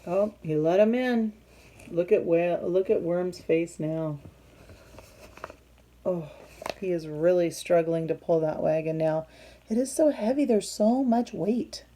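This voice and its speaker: A woman reading a picture book aloud to young children, expressive and teacherly, pausing to put questions to her listeners. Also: on the low side, a little hiss or hum.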